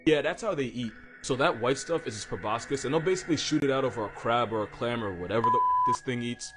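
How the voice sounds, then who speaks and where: A man's voice: medium pitch, wildly swooping pitch, fast. A man delivering scripted comedic narration for an animal facts video, with a wry, slangy and irreverent manner. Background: music.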